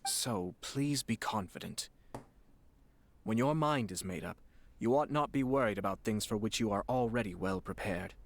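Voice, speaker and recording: A man playing a composed, courteous young noble clan head in a video game, speaking in a polite, measured, formal way. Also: fairly low pitch, a little hiss or hum, close to an everyday voice. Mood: reassuring.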